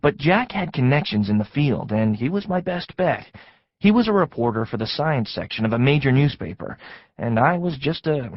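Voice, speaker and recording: Mid-pitched, weary forensic detective. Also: male voice, expressive, normal speed, no echo, highs cut off. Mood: brooding, reflective. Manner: noir-style, informal, reflective. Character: weary, sympathetic male forensic detective narrating his case. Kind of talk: audio drama acting and narration.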